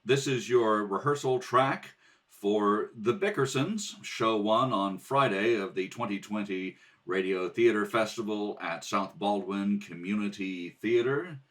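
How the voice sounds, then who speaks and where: A man's voice: on the low side, unhurried, a bit bright. A man giving informal rehearsal instructions in a casual, hesitant way, recorded at home. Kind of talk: informal spoken introduction. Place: kitchen table at home. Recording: distant home recording at kitchen table.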